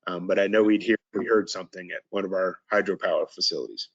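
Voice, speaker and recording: Man, hoarse, hesitant meeting presenter, muffled video-call sound. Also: low-pitched, quick pace. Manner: hesitant, frequent ums and throat clearing. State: hoarse, recovering voice. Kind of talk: casual verbal update. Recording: dull lo-fi call audio.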